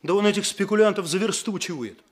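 A male actor playing an eager, naive young police detective in a radio play, earnest, hurried and deferential. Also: sits high. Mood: fervent admiration. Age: young adult.

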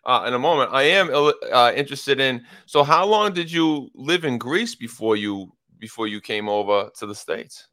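A man's voice: medium pitch, lively intonation, normal speed, few pauses, sharp tone, no room sound, clean studio sound. A man hosting a casual interview, laid back and dropping frequent short affirmations. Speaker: host.

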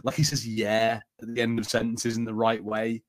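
A man's voice: lowish voice, completely flat delivery, moderate pace, no room sound. A man with an English accent co-hosting a podcast, talking casually in a film and TV review discussion. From England. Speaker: podcast co-host.

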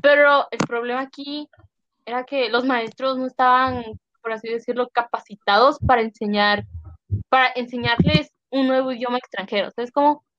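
A woman's voice: on the high side, steady tone, moderate pace, a bit bright, no room sound, dull audio. A young Mexican woman co-hosting a casual chat podcast, rambling, slangy, restarting and trailing off mid-thought. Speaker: podcast co-host.

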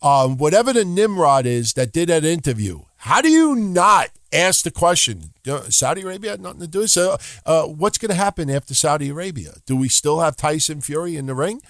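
A man's voice: a little high, a little fast, few pauses, dry recording, clean studio sound. A man co-hosting a podcast, ranting in casual chat and swearing often. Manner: profane, ranting, animated. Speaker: podcast co-host.

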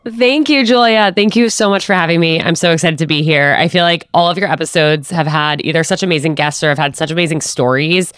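A young woman chatting as a podcast guest, her voice bright and crisp. She talks in an earnest, chatty way, full of casual fillers. The sound is close and dry. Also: low voice, fairly quick, few pauses, dry recording. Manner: earnest, chatty, lots of likes. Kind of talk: casual interview chat.

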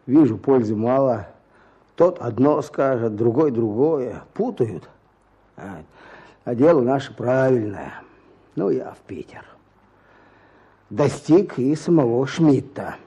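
An actor playing a weary, stubborn peasant petitioner back from prison, speaking plaintively in halting rustic phrases in a radio drama. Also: male voice, medium pitch, expressive, dark tone, husky, muffled recording, heavily acted. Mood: earnest recounting. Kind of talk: Russian radio drama acting.

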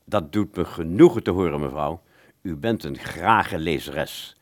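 An actor plays a male bookshop salesman in a radio drama. He is polite, fussy and a touch patronizing, explaining things pedantically. His voice is on the low side and very animated in pitch. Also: a little slow. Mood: obliging. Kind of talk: radio play dialogue.

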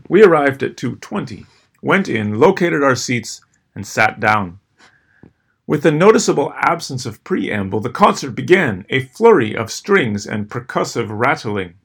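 A middle-aged man reading scripted personal stories as host, with a dry, wry, measured delivery and deliberate pauses. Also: medium pitch, gravelly, fairly bright tone, husky. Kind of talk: scripted first-person storytelling.

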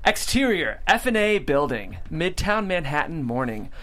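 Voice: medium pitch, very animated, moderate pace, fairly bright tone. A narrator reading stage directions aloud at a comedic script table read.

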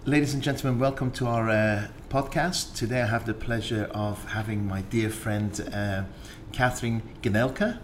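A man's voice: fairly low pitch, steady tone, moderate pace, hardly any pauses, slight background noise. A man hosting an interview podcast, chatty and cutting in with short questions. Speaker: podcast host.

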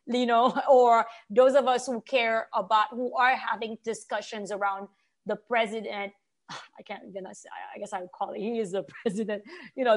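Earnest woman with a higher-pitched voice. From Haiti. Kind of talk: conversational opinion talk.

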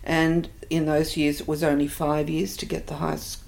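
An older woman with an Australian accent, thoughtfully recalling her life in an interview, pausing often. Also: low-pitched, little pitch movement, creaky, a little mellow. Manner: reflective, hesitant with many fillers. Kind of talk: oral history interview.

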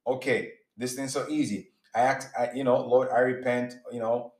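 A man's voice: mid-range voice, little pitch movement, moderate pace. Earnest man preaching in an echoey room. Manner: earnest, repeats words and restarts while building points. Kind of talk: sermon-style teaching.

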